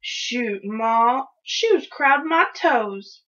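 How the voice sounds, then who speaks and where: Woman voicing a bright, high, stubborn country girl. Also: lively intonation, unhurried, lo-fi sound, full character voice. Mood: whiny protest. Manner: folksy, dramatic complaining. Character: Jenny, spirited, stubborn, grumbling young country girl. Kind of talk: children's storybook read-aloud.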